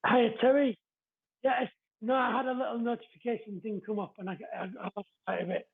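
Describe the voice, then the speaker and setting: A male livestream host with a distinctly high-pitched voice and an East Yorkshire accent. He talks in a welcoming, chatty, polite way, as if greeting viewers one by one. The audio is thin and lo-fi, with a narrow phone-like band. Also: fairly even intonation, brisk, vocal fry. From East Yorkshire, England. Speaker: spiritual medium hosting a live show.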